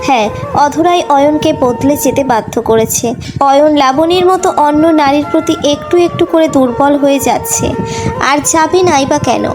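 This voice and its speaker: A woman's voice: high-pitched, low-quality recording. A woman reading scripted narration for a romantic audio story.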